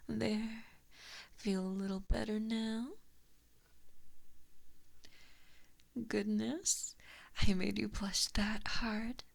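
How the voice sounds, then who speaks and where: A woman voicing an evil, possessive giant fox spirit in a slow ASMR roleplay, whispering softly and breathily with mockingly sweet menace, close to a binaural mic. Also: mid-range voice, little pitch movement, creaky. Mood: affectionate teasing. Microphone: close binaural microphone.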